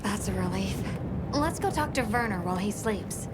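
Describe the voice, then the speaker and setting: A woman's voice: medium pitch, animated, moderate pace, heavy background noise, natural voice. An actress playing a practical, bossy young woman on a hunting expedition in a video game, speaking directly and decisively. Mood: focused. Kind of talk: video game cutscene acting. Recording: loud music over the voice.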